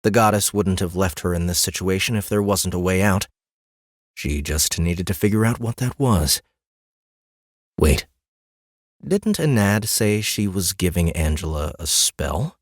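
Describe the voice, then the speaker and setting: A man's voice: low voice, unhurried, dry recording, studio quality. A man narrating a fantasy novel as an audiobook, reading scripted story prose.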